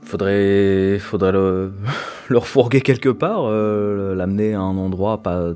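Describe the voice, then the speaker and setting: A man's voice: on the low side, fairly even intonation, slow, mellow, smooth, faint music behind. A man playing in a tabletop game, playful and joking as he describes his character. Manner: playful, laughing. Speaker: player. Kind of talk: casual tabletop roleplay.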